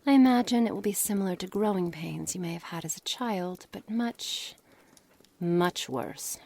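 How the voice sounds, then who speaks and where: A woman playing a cold, curious, menacing witch in an ASMR roleplay, speaking formally and condescendingly, often dropping into breathy near-whispers. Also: fairly low pitch, moderate pace, a little mellow. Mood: clinical fascination. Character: cold, menacing, curious witch experimenting on her captive pet. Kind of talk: ASMR roleplay, scripted dramatic monologue. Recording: noisy background ambience.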